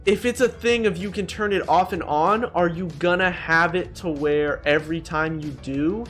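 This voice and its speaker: Higher-pitched guy, slangy gaming commentary over music. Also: normal speed, a bit bright. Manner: slangy and blunt, addresses viewers as bro. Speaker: gaming video host. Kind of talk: casual opinionated ranking commentary.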